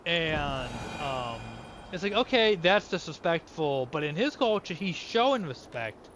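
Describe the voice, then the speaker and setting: A man's voice: fairly high pitch, unhurried. Rambling man in his thirties over game noise. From United States. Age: 30s. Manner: rambling with restarts. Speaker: gamer. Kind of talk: casual gameplay commentary. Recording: steady background noise.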